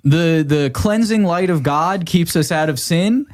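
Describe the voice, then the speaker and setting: A man's voice: fairly high pitch, a little slow. A man who is a comedian and podcast guest, chatting casually and telling humorous stories.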